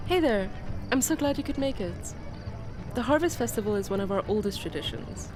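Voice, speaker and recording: A woman playing a kind, welcoming townswoman in a radio drama, speaking gently. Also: on the high side, lively intonation, fairly quick, music in the background, lightly acted. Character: kind, welcoming townswoman of a peaceful eco-city. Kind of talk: radio drama dialogue and storytelling. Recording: noisy outdoor ambience behind the voice.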